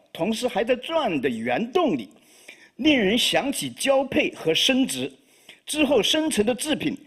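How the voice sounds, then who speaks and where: A man with a Chinese accent, whose first language is Chinese, giving a live poetry reading in a halting way with frequent fillers. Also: sits high, fairly bright tone, breathy edge. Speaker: poet.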